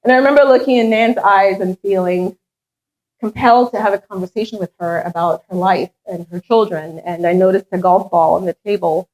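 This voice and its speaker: A middle-aged woman speaking at a community meet and greet, telling her story earnestly with now and then a light touch of humor about herself. Her first language is French, and her voice sits at an ordinary middle pitch for a woman. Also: fairly quick, a little mellow, poor audio. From Ghana, raised in France. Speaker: head of a charitable foundation. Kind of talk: public speech at a meet and greet.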